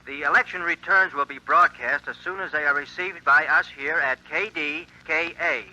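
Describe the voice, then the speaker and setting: An earnest early radio announcer reading election returns in a formal, measured cadence. His voice is bright and cutting, pitched a little high. It comes through a dull, lo-fi 1940s radio recording. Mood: announcing.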